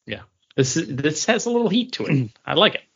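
A man's voice: on the high side, big pitch swings, brisk, gravelly, a bit bright, slightly rough. A man hosting a podcast in casual conversation, recorded with dull lo-fi sound. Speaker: podcast host. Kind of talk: casual chat.

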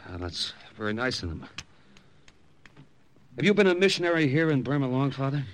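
A man plays an easygoing young American captain in a vintage radio play. His mid-pitched voice is casual and wry, sometimes feeling for words, candid as a soldier over a shared meal. A faint noise of old recording sits behind him. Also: very animated, a little fast, lightly acted. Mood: curious, wry. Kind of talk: 1940s-50s radio drama acting and narration.

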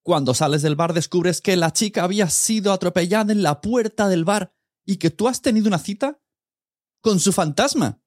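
A man's voice: high-pitched, moderate pace, dry recording, clean studio sound. A man with an accent from Spain talks casually and directly to the listener as a podcast host. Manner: chatty, direct address to listener.

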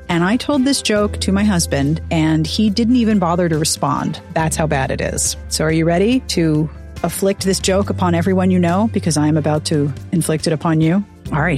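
A woman's voice: on the low side, darkish tone. Playful, jokey woman podcast host. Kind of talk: podcast interview and outro.

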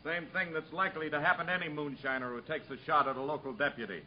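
An actor playing a hard, authoritative federal agent with clipped speech in a 1950s radio drama. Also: male voice, a little high, fairly even intonation, racing, a little hiss or hum, natural voice. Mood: stern. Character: hard, curt federal revenue agent, male, commanding. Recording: muffled 1950s radio broadcast recording.

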